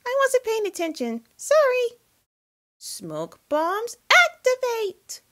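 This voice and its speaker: A woman voicing a playful, mischievous girl who loves gadgets, excitable and sing-song, for a cartoon demo. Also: very high-pitched, slow pace, exaggerated voice. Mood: excited, mischievous.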